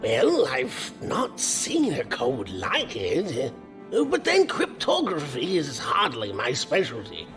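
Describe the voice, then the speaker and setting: A fussy, avuncular older professor acted by a man in a science fiction audio drama. His wry delivery leaps about in pitch, with loud music behind him. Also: huge pitch swings, slow pace. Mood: musing.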